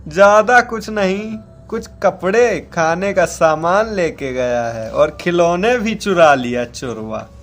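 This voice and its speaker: A man's voice: high-pitched, lively intonation, takes it slowly, few pauses, slight background noise, full character voice. A man playing a rustic, avuncular village uncle with a rural eastern Hindi accent in a children's cartoon story. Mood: explaining. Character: friendly adult village uncle with rustic speech.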